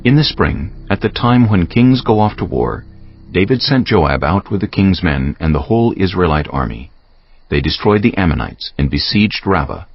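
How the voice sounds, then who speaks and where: A man's voice: rumbling low, creaky, a little hiss or hum. A man reading a dramatized Bible as its scripted narrator. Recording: slightly dull audio. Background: faint music.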